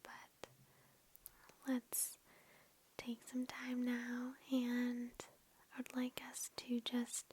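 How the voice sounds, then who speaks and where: Female ASMR meditation guide, hushed unvoiced whisper, very even and unhurried, faintly noisy lo-fi recording. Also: on the high side. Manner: gentle, reassuring, unhurried. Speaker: ASMR host. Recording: lo-fi with background noise and clicks.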